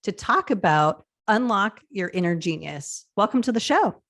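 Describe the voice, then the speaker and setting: A young woman hosting an interview show, chatty and relaxed, with lots of filler words. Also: medium pitch, moderate pace. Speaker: podcast host.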